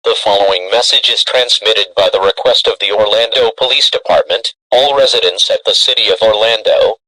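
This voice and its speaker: A man voicing a stern emergency alert announcer, reading formally in a measured, official manner. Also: fairly low pitch, pushed and bright, no room sound, rough recording. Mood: grave, official. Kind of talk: formal scripted emergency broadcast.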